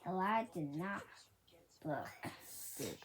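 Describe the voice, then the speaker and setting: Very slow, expressive woman reading aloud. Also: lowish voice. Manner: halting, often repeating and correcting words. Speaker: storybook reader. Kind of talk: children's book read-aloud. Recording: faint background noise.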